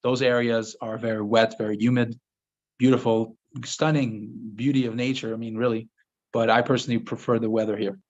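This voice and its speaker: A man whose first language is French, hosting a casual live-stream Q&A and answering viewers' questions in a relaxed, rambling way with many fillers. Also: mid-range voice, brisk, dull audio. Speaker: host of a live Q&A. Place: home office in Southern Ecuador.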